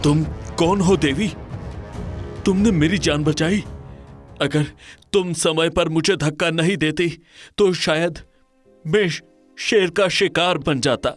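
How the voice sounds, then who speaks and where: A man playing an earnest, authoritative Indian king in a cartoon folk tale, speaking formally and deliberately. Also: fairly high pitch, soft background music. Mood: grateful. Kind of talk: dramatised cartoon storybook dialogue.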